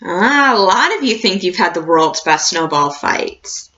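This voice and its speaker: A woman reading a picture book aloud like a teacher, expressive and encouraging, addressing young children. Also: on the low side, a little slow, lo-fi sound, slight background noise. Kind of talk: storybook read-aloud with questions to a class.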